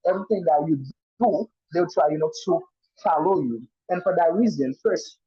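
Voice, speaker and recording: A man's voice: fairly high pitch, normal speed, dark tone, husky, no room sound. A man whose first language is Haitian Creole, a student in an online speaking class, giving a casual answer with lots of "you know" fillers and restarts. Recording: muffled online call audio.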